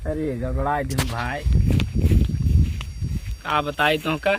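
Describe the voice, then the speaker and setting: A man's voice: on the high side, steady tone, takes it slowly. A man with an Awadhi accent from eastern Uttar Pradesh rambles and repeats himself in a casual, complaining phone conversation, recorded outdoors in noisy surroundings. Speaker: comedian doing a phone-call skit.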